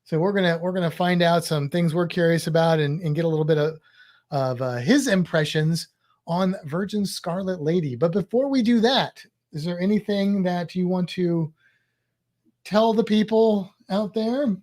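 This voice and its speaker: A man's voice: high-pitched, moderate pace, a little mellow. A man co-hosting a casual cruise podcast, joking around and making puns. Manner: jokey, playful with puns. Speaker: podcast co-host. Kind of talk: casual chat.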